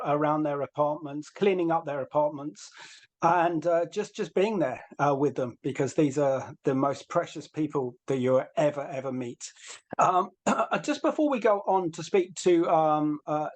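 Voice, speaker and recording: A man hosting an online webinar as interviewer, speaking in a halting way with frequent ums. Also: on the high side. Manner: hesitant, halting delivery with many ums.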